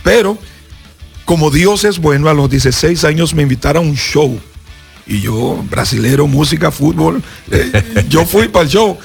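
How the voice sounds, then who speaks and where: A man's voice: a little high, expressive, normal speed, vocal fry, faint music behind. A Brazilian man, a pastor and native Portuguese speaker, giving an earnest personal testimony in Spanish as a guest on a radio interview. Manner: earnest storyteller, acts out voices in his story.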